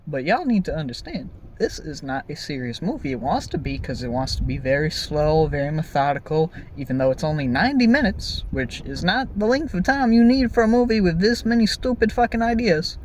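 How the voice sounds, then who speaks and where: A man's voice: fairly high pitch, fairly quick, hardly any pauses, a little hiss or hum, low-quality recording. A man giving an exasperated, profane, comedic movie review in a conversational storytelling way, with exaggerated emphasis. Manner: exaggerated, animated emphasis with repeated phrases. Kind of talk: ranting, comedic film review commentary.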